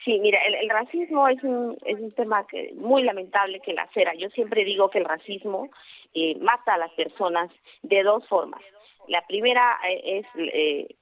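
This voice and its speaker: A Mexican woman from Oaxaca, a guest speaking earnestly by phone on a radio show, pausing often with fillers and restarts. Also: medium pitch. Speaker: guest, traditional cooking expert. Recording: tinny phone line.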